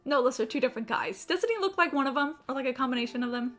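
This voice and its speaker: High, animated, sarcastic woman streaming a game. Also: very fast. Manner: sarcastic, exasperated, sweary. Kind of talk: casual live stream commentary. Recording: game music behind.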